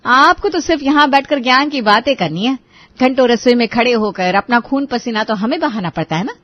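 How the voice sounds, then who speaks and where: A woman voicing a scheming, bossy, sharp-tongued wife in a family cartoon, scolding and sarcastic. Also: fairly high pitch, brisk, no echo, lo-fi sound, close to an everyday voice. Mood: irritated, sarcastic. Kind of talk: Hindi family cartoon voice acting.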